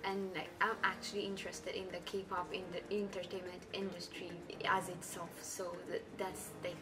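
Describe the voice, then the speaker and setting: A woman's voice: lowish voice, level tone, normal speed. A young woman from Ethiopia, a university student, talking casually with lots of fillers. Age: young adult. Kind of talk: interview answers to camera. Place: indoor studio corner. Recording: voice a bit far from the mic, music bed.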